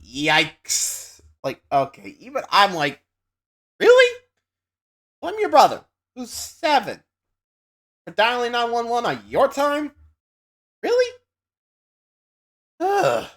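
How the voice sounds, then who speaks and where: A woman reacts casually to a video in a hugely animated voice. Her pitch swings widely as she throws in slangy, incredulous comments in the manner of a reaction host. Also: takes it slowly, pauses often.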